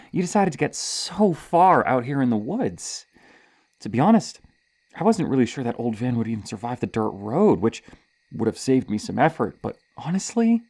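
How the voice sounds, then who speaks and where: Casting: playful female slasher for ASMR horror woman acting, unhurried, close and dry, murmuring menace with coy stammers and whispers. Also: a bass voice, dark tone, breathy edge, no room sound, full character voice. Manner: teasing, hesitant stammer, menacing, drifting into whispers. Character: sadistic playful female serial killer hunting in woods.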